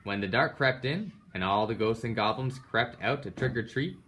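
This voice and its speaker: A man's voice: mid-range voice, lively intonation, normal speed, a bit bright, slight background noise. A man reading a children's picture book aloud in a dramatic way, with emphatic sound-effect words. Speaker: storybook reader. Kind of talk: animated children's read-aloud.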